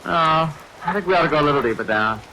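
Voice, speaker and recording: A man playing a brisk, skeptical husband and estate owner, dismissive, in 1940s radio drama style. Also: fairly high pitch, animated. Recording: noisy vintage radio recording, rain behind.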